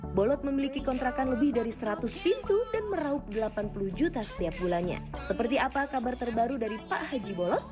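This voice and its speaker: A woman's voice: a little high, lively intonation, fast, few pauses, over music. A woman reading a scripted celebrity profile as a voice-over narrator.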